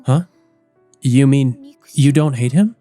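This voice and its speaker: A knowing older schoolgirl from a visual novel acted by a man, stern yet caring, explaining things with precise, cautioning words. The voice is lifted above his natural pitch and soft in tone, over faint music. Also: slow, natural voice. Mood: concerned. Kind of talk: visual novel character reading.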